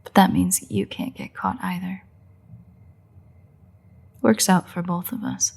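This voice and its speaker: A woman playing a playful, sardonic, bloodthirsty but secretly caring vampire in a roleplay audio drama, with wry, husky, often breathy delivery. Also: on the low side, fairly even intonation, darkish tone, full character voice. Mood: brisk, matter-of-fact. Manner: wry, teasing, unhurried delivery with pauses.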